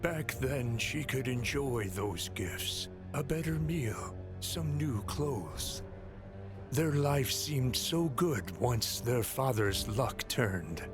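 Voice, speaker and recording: A man's voice: mid-range voice, expressive, slow pace. A man playing a solemn storyteller, narrating a legend in a video game in storybook style. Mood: wistful. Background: music.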